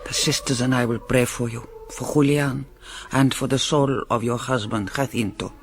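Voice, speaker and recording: A woman playing a kindly, dignified older Mother Superior in a radio drama, measured and gently authoritative, with dry wit. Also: very deep voice, fairly even intonation. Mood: solemn, kindly.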